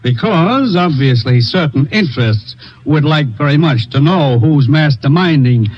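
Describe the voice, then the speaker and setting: An actor playing a brisk, authoritative male commissioner giving orders in a 1950s radio drama. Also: on the high side, animated. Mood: stern. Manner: crisp, businesslike, authoritative. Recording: dull old radio broadcast.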